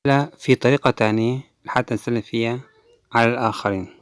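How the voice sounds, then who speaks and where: A man teaching a language lesson, repeating each example phrase twice slowly and explaining quickly in between. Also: mid-range voice, fairly even intonation, smooth, no room sound. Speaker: English teacher.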